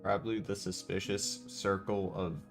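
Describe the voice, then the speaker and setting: A man's voice: sits low, level tone, normal speed. A man livestreaming a video game with casual, playful, laid-back commentary. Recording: game music under the voice. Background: game music.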